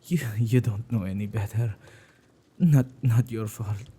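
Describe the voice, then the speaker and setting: Guy doing a possessive male witch for asmr roleplay, slow n husky, teasing, kinda menacing, slips into whispers. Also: fairly low pitch. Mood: sheepish, apologetic. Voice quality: dark, gravelly, husky voice, often dropping to whispers. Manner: teasing, unhurried, with drawn-out words and hesitant repeats. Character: possessive male witch who keeps ghosts, playfully menacing captor.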